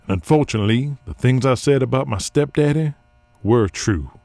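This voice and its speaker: An actor playing an earnest, hard-bitten pro wrestler in an audio drama, plain-spoken, deliberate and brooding. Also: male voice, mid-range voice, creaky, mellow, dry recording, close to an everyday voice. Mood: solemn, resolute.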